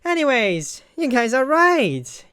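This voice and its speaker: Smug, breezy game master for a visual novel, higher voice, teasing and taunting, put on by a streamer. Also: very animated, slow pace, a bit bright, clear, resonant tone, full character voice.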